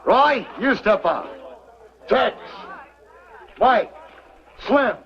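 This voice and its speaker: A boisterous American miner with a high, very animated voice orders his crew around with jovial authority. The old western soundtrack is dull, with a noisy crowd around him. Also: extremely slow, full character voice. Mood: jovial, bossy. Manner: jovial, commanding. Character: boisterous mining camp man bossing his crew. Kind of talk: 1950s-60s western TV acting.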